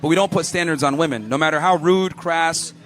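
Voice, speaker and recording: Fast, emphatic man arguing bluntly. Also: a little high, fairly bright tone.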